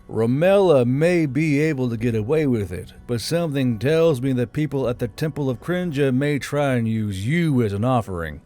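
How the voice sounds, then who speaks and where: A man's voice: medium pitch, a little mellow, natural voice. A man voicing a blunt, weary male official with a stern, growling delivery in an audiobook. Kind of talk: audiobook character voice.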